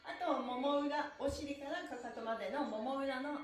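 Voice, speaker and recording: A woman's voice: high voice, normal speed, a little hiss or hum. A middle-aged woman, cheerful and encouraging, leading a live stretching lesson in polite, playful, exclamatory speech from a small room. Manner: polite, with playful honorifics for body parts. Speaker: stretching instructor and stream host. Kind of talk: live exercise lesson to viewers. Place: small bare-walled room indoors. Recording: roomy indoor echo.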